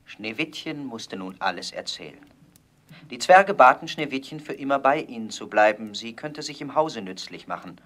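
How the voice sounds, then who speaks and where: A man narrating a fairy tale in a calm, even storytelling voice. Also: mid-range voice, normal speed.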